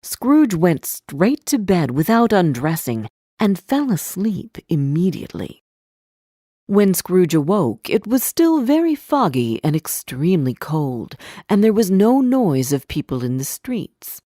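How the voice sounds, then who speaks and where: Female narrator for learners' fairy tales: lowish pitch, unhurried deliberate delivery, scripted. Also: sing-song, dark tone, dry recording, studio quality.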